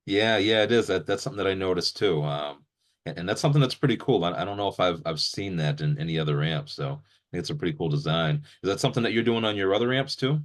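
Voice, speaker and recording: A man's voice: low-pitched, expressive, quick pace. A man interviewing in a casual, informal way, agreeable and given to repeated short affirmations. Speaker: interviewer and video host.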